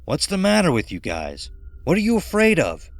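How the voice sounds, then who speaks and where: A man's voice: fairly high pitch, wildly swooping pitch, normal speed, no echo, pitched above the performer's own voice. A man voicing a brash, sneering sceptical man in a horror story, scornful and emphatic.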